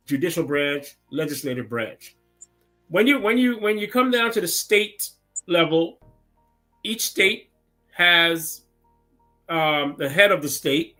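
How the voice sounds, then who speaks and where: A man's voice: fairly high pitch, bright, forceful tone. A man from Guyana, a city council member, explaining government step by step as an interview guest over a remote call. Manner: measured, explains step by step with tag questions.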